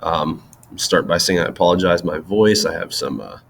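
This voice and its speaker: A young man with a stuffed-up nose walks through a software tutorial in a loose, chatty way, full of ums and likes. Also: low voice, normal speed, creaky, a little hiss or hum. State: stuffy-nosed from allergies. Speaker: tutorial presenter. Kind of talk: casual screen-recorded software tutorial.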